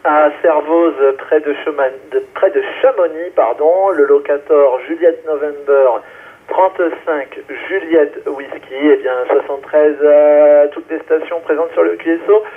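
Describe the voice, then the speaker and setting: A man's voice: fairly high pitch, steady tone, unhurried. A man checking in as an amateur radio operator, talking casually and hesitantly over two-way radio. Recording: thin, narrow ham radio relay.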